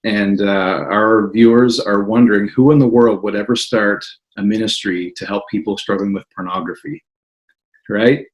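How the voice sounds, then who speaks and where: Man, interviewer, lowish pitch, friendly welcoming tone. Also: steady tone, normal speed, poor audio.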